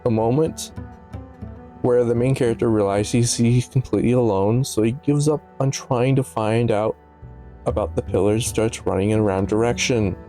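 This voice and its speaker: A man's voice: medium pitch, moderate pace, dark tone. A man giving casual, analytical video commentary in a musing, slightly stumbling way, with offhand asides. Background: background music.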